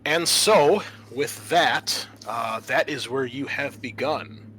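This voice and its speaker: A man working as game master, narrating a tabletop roleplaying scenario with measured, storyteller-like scene-setting. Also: medium pitch, background noise.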